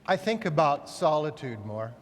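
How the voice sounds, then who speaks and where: High-voiced man reciting in a reverberant hall. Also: sing-song. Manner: solemn, measured, declamatory delivery. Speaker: reader. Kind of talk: dramatic literary recitation of a verse play. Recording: long hall reverb, echoey large space.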